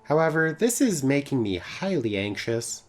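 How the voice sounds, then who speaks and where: A man's voice: mid-range voice, big pitch swings, normal speed, pitched above the performer's own voice, natural voice. A man voicing a polite, rule-abiding digital wrist assistant in a visual novel, with formal, precise wording. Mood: anxious, formal. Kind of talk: character voice in a visual novel read-aloud.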